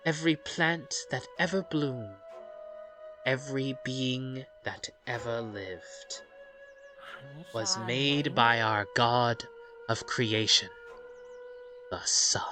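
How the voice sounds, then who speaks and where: Slow-paced game narrator over music. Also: mid-range voice. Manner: measured dramatic reading broken by offhand, rambling asides. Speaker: let's play host. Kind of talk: reading game story aloud with casual commentary. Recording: music under the voice.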